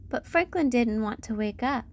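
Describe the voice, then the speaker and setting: A woman's voice: on the high side, lively intonation, moderate pace, darkish tone. A woman hosting a read-aloud, narrating a children's storybook expressively. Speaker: storybook reader and channel host.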